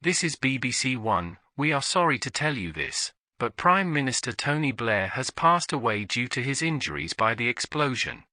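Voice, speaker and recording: A man's voice: medium pitch, sing-song, normal speed, few pauses, pushed and bright, dry recording, studio quality. A man reading a scripted emergency broadcast announcement in a formal, solemn manner as a continuity announcer.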